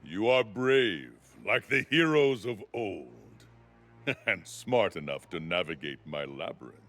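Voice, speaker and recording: A man playing a huge, proud, ancient Minotaur in a video game, declaiming slowly and grandly with a gravelly voice. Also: sits low, lively intonation, fairly bright tone, full character voice. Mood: bold, challenging. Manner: grandiose, slow theatrical declamation. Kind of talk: video game character dialogue. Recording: game music under the voice.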